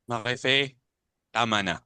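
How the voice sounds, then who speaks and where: A man acting a protective older club elder in a dramatic reading, reasoning and gently admonishing. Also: medium pitch, slow, clear, resonant tone, dry recording. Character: Tito Dudes, protective, reasoning older male club member.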